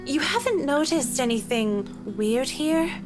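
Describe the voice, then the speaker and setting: An actress playing a guarded, polite young woman working at a mansion in a visual novel, measured and gently probing. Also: high voice, expressive. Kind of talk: visual novel game acting. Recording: game music underneath.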